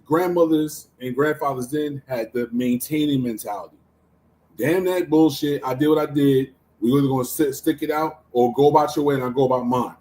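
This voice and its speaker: A man's voice: mid-range voice, brisk, mellow, rough recording. A man in his forties arguing casually in a heated debate, blunt and insistent, often repeating himself. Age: 40s.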